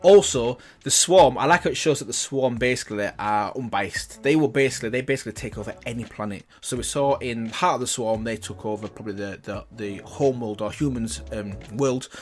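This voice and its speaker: A man's voice: mid-range voice, brisk, hardly any pauses. A man giving casual, enthusiastic reaction commentary as a host, often repeating himself for emphasis. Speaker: host of a reaction and review channel.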